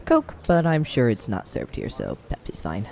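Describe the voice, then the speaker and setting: A man's voice: mid-range voice, wildly swooping pitch, moderate pace, vocal fry, mellow. A man playing an easygoing young college student who jokes around in a laid-back way in an audio roleplay. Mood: joking, laid-back.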